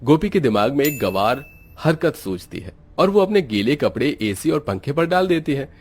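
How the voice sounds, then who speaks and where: A man's voice: mid-range voice, sing-song, moderate pace, a little mellow, a little hiss or hum. A man giving scripted narration as the storyteller of a moral tale.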